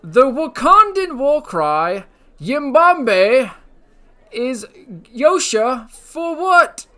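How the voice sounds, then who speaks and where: Comic game show host, voiced by a man: very high, bright, blustering rambler. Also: sing-song, very slow, heavily acted. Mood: rambling. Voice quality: high squeaky put-on voice with slangy blustering delivery. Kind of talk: comedic character monologue on a podcast. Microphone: podcast mic.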